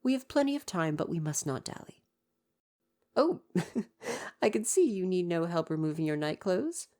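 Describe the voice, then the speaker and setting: A man's voice: sits high, expressive, normal speed, a little mellow. A man playing a devoted, proper royal butler in an ASMR roleplay, speaking to the listener in a formal, deferential, fussily attentive way. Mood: attentive, gently teasing.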